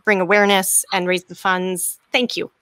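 A woman's voice: mid-range voice, little pitch movement, normal speed, fairly bright tone, no echo. A woman in her thirties speaking casually and emphatically as an interview guest on a video call from home. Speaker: interview guest, actor and director. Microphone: computer mic on a video call.

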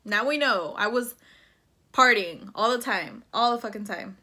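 A woman's voice: mid-range voice, expressive, moderate pace, pushed and bright, husky. A woman, telling a personal story in a casual, slangy way, slipping between English and Spanish. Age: 20s. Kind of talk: casual storytime chat to camera. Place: small plain room indoors.

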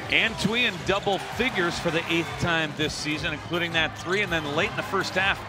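Brief: middle-aged male sportscaster, a touch high-pitched, crisp and brisk, headset mic at an arena desk, music bed playing under him. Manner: brisk, polished broadcast delivery. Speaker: play-by-play host. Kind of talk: post-game sports wrap.

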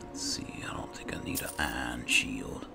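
A man with a gravelly voice giving casual, low-key commentary while playing a fantasy game, musing aloud as he goes. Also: normal speed, whispered, music in the background. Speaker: gaming host. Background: game ambience.

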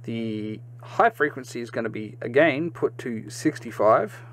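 A man hosting a step-by-step software tutorial, halting and sometimes repeating or correcting himself. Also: medium pitch, expressive, slight background noise. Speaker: tutorial host. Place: indoors, screen-recording voice-over.

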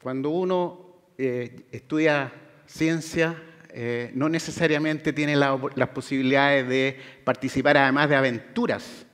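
A middle-aged man with a Chilean accent lectures to a large audience in a relaxed, conversational way, pausing on fillers and tag questions. His voice sits at a middle pitch, and a long reverb from the hall trails each phrase. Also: smooth. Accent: Chilean Spanish. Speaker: guest lecturer, oceanographer. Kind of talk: public science lecture.

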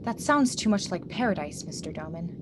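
A woman's voice: lowish voice, animated, fairly quick, natural voice. A woman acting an earnest, compassionate young idealist in a radio drama, questioning as she speaks. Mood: troubled. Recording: clearly noisy live drama recording.

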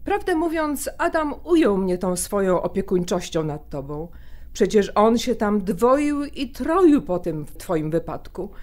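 An actress playing an opinionated, disapproving sister in a naturalistic audio drama, blunt, questioning and emphatic. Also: female voice, mid-range voice, big pitch swings, a little slow, darkish tone. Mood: probing, exasperated. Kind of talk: naturalistic audio drama acting, phone conversation.

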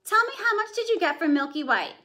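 A woman's voice: extremely high pitch, big pitch swings, fast talker, bright, forceful tone, pitched above the performer's own voice, exaggerated voice. A woman doing a scolding poor widow mother. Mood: eager. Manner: exasperated, scolding.

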